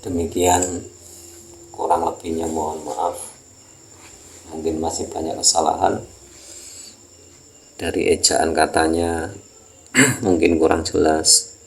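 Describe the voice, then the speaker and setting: A man with a Javanese accent teaching an Islamic text, reading it out and explaining it in a halting, repetitive way. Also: extremely low pitch, steady tone, creaky, a little hiss or hum. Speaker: religious teacher.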